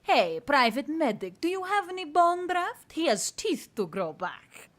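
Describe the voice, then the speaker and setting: A woman's voice: sits high, big pitch swings, a little slow. A woman voicing a teasing, affectionate winged female creature warrior in an audiobook, slightly distant from the microphone. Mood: teasing, fond. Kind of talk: audiobook character dialogue.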